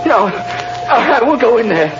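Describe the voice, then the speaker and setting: A man's voice: extremely high pitch, big pitch swings, measured pace, very noisy, exaggerated voice. An actor playing a terrified condemned man in an old radio drama, pleading frantically and repeating himself. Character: terrified condemned male prisoner pleading for his life. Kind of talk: 1930s radio drama acting. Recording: dull vintage radio broadcast with music.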